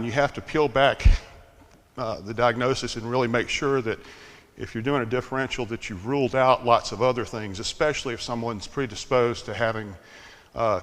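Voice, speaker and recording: An older man giving a conference talk in a slightly monotone, relaxed, self-deprecating way, with plenty of fillers. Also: medium pitch. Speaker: conference speaker. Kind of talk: conference presentation. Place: conference hall. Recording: long hall reverb.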